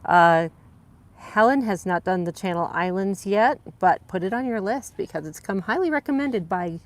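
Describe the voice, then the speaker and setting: Soft-spoken lady cohosting a livestream, reads chat comments out, thanks folks. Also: medium pitch, lively intonation, moderate pace. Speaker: livestream co-host. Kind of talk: casual livestream chat reading viewer comments.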